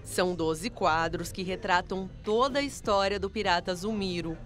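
A woman's voice: fairly low pitch, normal speed. A woman reading scripted TV news narration as a reporter. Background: background music.

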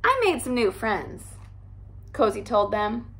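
Expressive young woman reading a storybook. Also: a little high, takes it slowly. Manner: animated, expressive storytelling with character voices. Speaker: teacher reading aloud. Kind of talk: storybook read-aloud. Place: living room by a fireplace, indoors.

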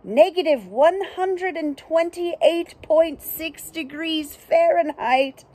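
A woman voicing an exasperated, pompous male documentary narrator from a picture book, declaiming with emphatic, deliberate delivery. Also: very high-pitched, darkish tone, voice pushed up, rough recording.

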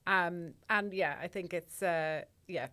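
A woman hosting a podcast interview, agreeable and measured, affirming her guests, on a studio mic in a small podcast studio. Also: fairly low pitch, pushed and bright, dry recording. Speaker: podcast host. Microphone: studio mic on arm, headphones.

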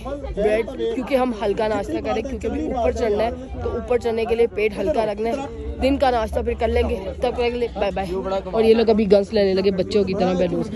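A young man from Pakistan, vlogging casually on a phone held at arm's length outdoors, excitable and quick to squeal and shout when startled. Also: sits high. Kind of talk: casual travel vlog narration. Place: outdoors on a mountain roadside. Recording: outdoor phone audio with crowd chatter.

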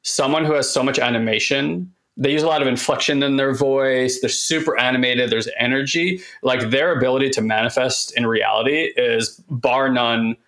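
A man with a monotone, bassy voice that still sounds bright and forward. He rambles enthusiastically in casual podcast talk and drops the odd swear word. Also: moderate pace, hardly any pauses. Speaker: podcast co-host. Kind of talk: casual conversation.

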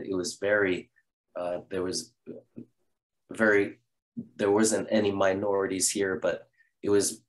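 A man in his fifties from the Bay Area, a Zen priest speaking on a video call in a halting way, with many restarts. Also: lowish voice, rough recording. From California, Bay Area. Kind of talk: reflective conversation. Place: room at home.

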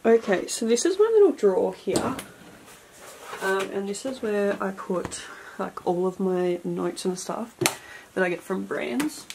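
Young woman, twenties, rambling casual chat, gravelly, background hiss. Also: mid-range voice, expressive, measured pace, darkish tone. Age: 20s. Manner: chatty, rambling, many fillers and "like". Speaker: beauty vlogger. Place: cluttered bedroom beauty room, indoors. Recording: noisy home camera audio.

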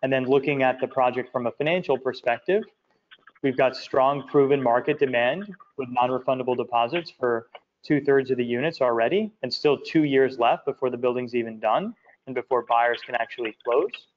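Man, presenter, slide walkthrough, halting with fillers, muffled audio. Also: mid-range voice, a little hiss or hum. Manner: halting, many fillers and repeated words. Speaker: presenter of an investment project video. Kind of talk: walking through presentation slides.

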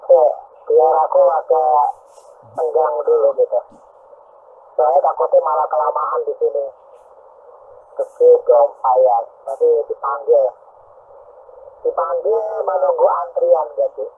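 Narrow noisy radio signal, man, gravelly, casual chat. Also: fairly high pitch, a little slow, pauses often. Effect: two-way radio transmission. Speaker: CB radio operator.

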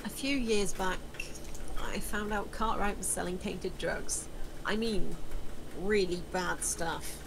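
A woman's voice: medium pitch, takes it slowly, gravelly, noisy background. A woman playing a weary, defiant, bitter young woman in a script table read. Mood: weary, defensive.

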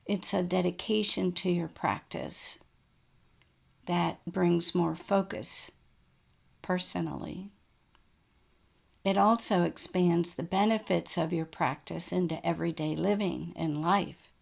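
A woman guiding a relaxation meditation as a yoga nidra teacher, in a gentle, soothing manner with unhurried pauses. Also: on the low side, fairly even intonation, vocal fry.

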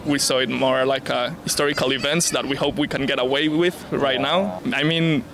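A man's voice: mid-range voice, steady tone, normal speed, background noise. A young man from Mexico answering street interview questions outdoors into a handheld mic, thoughtful and full of fillers. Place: outdoor city plaza. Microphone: handheld mic held by interviewer.

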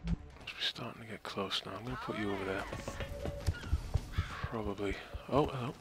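A man thinking aloud and commentating his own strategy game match with wry asides. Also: on the low side. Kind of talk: casual gameplay commentary. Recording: game music behind the voice. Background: game music.

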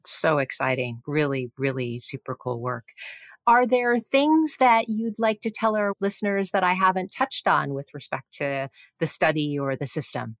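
A woman's voice: sits low, lively intonation, normal speed, no echo. A woman hosting a professional medical interview podcast, with an effusive, encouraging manner toward the guest. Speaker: podcast host, interviewer, physician.

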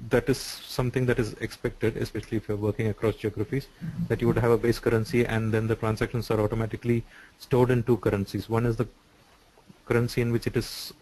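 A man on a video call who explains software features step by step, with frequent "uh" and "so". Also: mid-range voice, poor audio. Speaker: software developer answering questions.

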